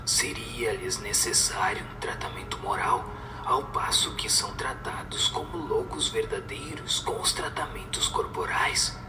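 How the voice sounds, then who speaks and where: A man playing a solemn spirit teacher in a radio drama, speaking in a measured, didactic and breathy whispered voice. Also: lowish voice, expressive. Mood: solemn instructive. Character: solemn male spirit teacher giving moral answers. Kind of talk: scripted radio drama recitation. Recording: echoing voice over music.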